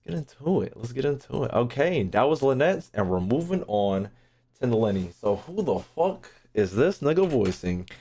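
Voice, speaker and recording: A young man streaming his reactions to a game. He talks in a brash, slangy, sweary way and repeats phrases, with pitch that jumps around a lot. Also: big pitch swings, normal speed, warm tone, breathy edge. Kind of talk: casual live-stream commentary.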